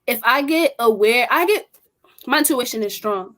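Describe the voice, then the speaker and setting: A woman's voice: fairly high pitch, expressive, moderate pace, fairly bright tone. Blunt young woman speaking her mind. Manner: blunt, emphatic, strong-willed. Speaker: podcast co-host. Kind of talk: casual group discussion.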